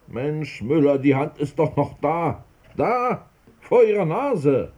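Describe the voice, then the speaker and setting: An actor playing a pedantic, easily exasperated doctor who scolds and commands in a radio play. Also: male voice, a little high, big pitch swings, slow pace, darkish tone, heavily acted. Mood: impatient. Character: exasperated pedantic male doctor, quickly indignant. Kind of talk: German radio play acting.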